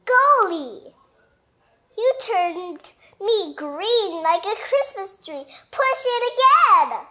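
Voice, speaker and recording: Woman voicing an excitable, high-pitched storybook monster. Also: drawn-out words, heavily acted. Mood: amazed. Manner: exclamatory, theatrical read-aloud delivery.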